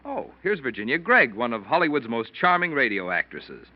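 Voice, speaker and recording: A man's voice: mid-range voice, very animated, moderate pace. A man announcing in 1940s radio advertising style, with a polished, emphatic salesman's delivery. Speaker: announcer, sponsor pitchman. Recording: dull, dry old radio recording.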